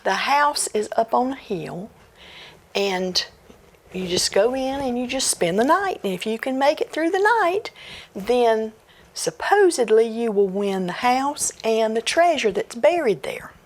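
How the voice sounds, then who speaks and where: A sly older man drawling out his advice in a slow, folksy way, as a woman storyteller puts him on. The pace is unhurried and the voice stays close to her own. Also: on the high side, animated, voice pushed up. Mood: confiding.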